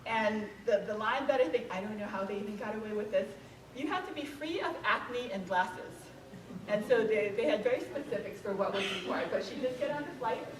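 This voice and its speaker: A woman's voice: medium pitch, background noise. Woman speaker from Hawaii, casual lecture style, lots of fillers; recorded far from the mic in an echoey hall. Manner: conversational, frequent um fillers, asks audience questions. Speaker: author and speaker. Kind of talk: museum lecture to an audience. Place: museum event room.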